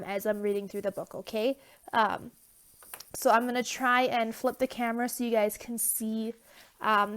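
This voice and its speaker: Young woman teacher, stuffy nose, chatty and casual, somewhat high, phone-recorded. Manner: loose and chatty, many fillers and okay check-ins. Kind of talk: casual remote lesson.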